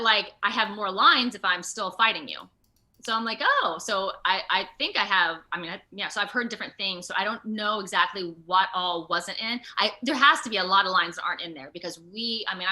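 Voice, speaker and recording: Bright-voiced woman, chatty and informal. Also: mid-range voice. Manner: animated, chatty, informal with frequent "like" and "you know". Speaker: voice and motion capture actor, interview guest. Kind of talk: casual video interview chat. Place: at home, indoors on a video call.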